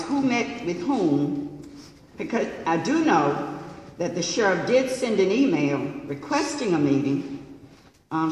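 A woman on a city council makes her case in a measured, insistent way, sticking to procedure. She sounds distant, heard from far across a big, boomy chamber. A long reverb trails her words. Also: slight background noise. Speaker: council member. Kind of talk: formal public meeting remarks. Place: large council chamber with wood panels. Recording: echoey hall from far off.

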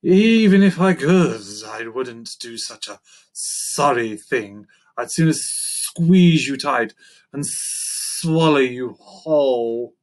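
Someone doing a slow, menacing storybook reptile. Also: mid-range voice, animated. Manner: slow, drawn-out, disdainful menace. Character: slow, menacing, disdainful python and crocodile.

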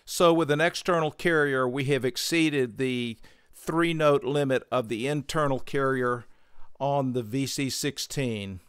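A man hosting a synthesizer tutorial, explaining gear in a measured, methodical way on a close microphone. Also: mid-range voice, a bit bright, clean tone, dry recording. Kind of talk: explanatory product tutorial narration.

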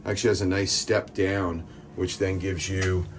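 Low-voiced man giving a boat tour. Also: normal speed, a little hiss or hum. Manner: enthusiastic salesman, relaxed, with frequent fillers. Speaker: yacht broker. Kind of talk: casual walkthrough tour. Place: on a sailboat at a dockside boat show, outdoors and in the cabin. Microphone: handheld phone camera.

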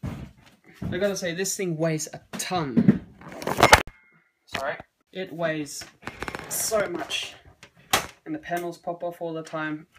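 A man's voice: fairly high pitch, little pitch movement, takes it slowly, pauses often, heavy background noise. A man in his thirties giving a casual handheld walkthrough of a computer build at home, filled with ums and uhs, recorded on a phone camera. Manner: hesitant, many ums and uhs. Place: home room indoors. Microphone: phone camera held in hand.

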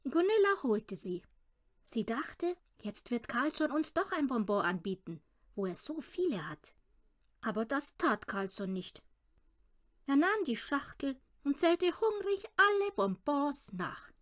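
A woman reading a children's audiobook with expressive storytelling narration. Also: high-pitched, unhurried. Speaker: audiobook reader.

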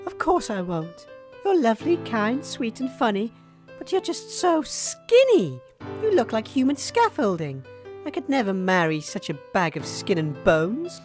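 A woman's voice: fairly high pitch, big pitch swings, unhurried, darkish tone, faint music behind. A woman playing a fickle, melodramatic sweetheart in a comic radio advert sketch. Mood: pitying rejection.

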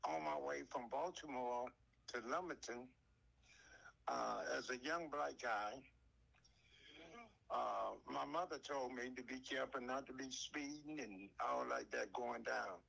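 Bright, gravelly male storyteller. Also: medium pitch, unhurried, poor audio. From North Carolina, USA. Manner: folksy, acts out quoted dialogue. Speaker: interviewee telling a personal story. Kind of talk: oral storytelling, conversational.

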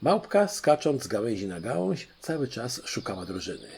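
A male audiobook narrator telling a children's tale. His mid-range voice is highly animated and often drops into creak. The delivery is expressive storytelling. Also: moderate pace, a little hiss or hum.

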